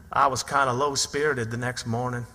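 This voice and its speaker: A man's voice: mid-range voice, pushed and bright. An actor playing a plucky, plain-spoken backwoods boy in his early teens, with a rural Southern American accent and folksy grammar, in radio drama style. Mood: wry, uneasy. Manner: folksy, ungrammatical storytelling. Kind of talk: theatrical radio drama acting and narration. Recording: long hall reverb.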